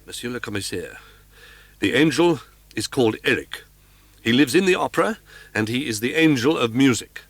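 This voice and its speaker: A man's voice: mid-range voice, expressive, normal speed, long pauses, a bit bright, no echo, voice pushed up. A man voicing an anxious young male nobleman who stammers and pleads urgently. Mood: earnest.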